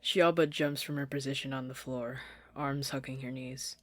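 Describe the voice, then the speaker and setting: A steady, soft-spoken podfic narration, read close into a desktop mic. The sound is dry with no room around it. The pitch sits in the middle. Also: normal speed, no room sound. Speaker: fan fiction reader. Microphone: desktop condenser mic.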